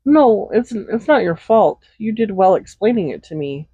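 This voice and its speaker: A woman playing a doting, possessive villain in an intimate roleplay audio, speaking softly and without hurry in a gently teasing manner. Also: medium pitch, big pitch swings, slightly rough, no room sound. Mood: sheepish. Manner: soft-spoken, unhurried, gently teasing. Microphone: Blue Yeti USB microphone, close. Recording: slightly dull home microphone recording.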